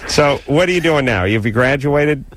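A man's voice: medium pitch, expressive, moderate pace, a bit bright, slight background noise, poor audio. A man co-hosting a call-in radio show, joking bluntly and teasing a young caller.

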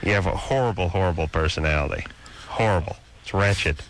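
A man's voice: low voice, a little fast, slight background noise. A man hosting a radio call-in show, bantering casually in a blunt, mocking way and often cutting in.

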